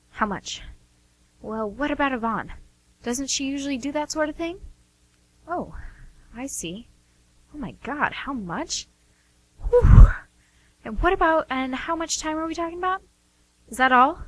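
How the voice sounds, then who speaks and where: A woman's voice: sits high, no echo, poor audio. A woman playing a secretive, harried sister in an amateur radio drama, with a curt, emphatic delivery.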